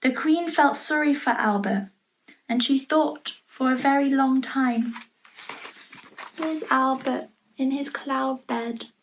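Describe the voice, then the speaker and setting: A woman reading a children's picture book aloud in an expressive storytelling manner, pausing to point out the pictures. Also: high-pitched, slight background noise, rough recording. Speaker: storybook reader.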